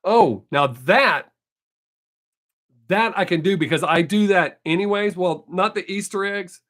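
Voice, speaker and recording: A man's voice: high-pitched, unhurried, fairly bright tone. An older man, chatting casually with viewers on his live stream, rambling and correcting himself as he goes, his voice still not fully recovered.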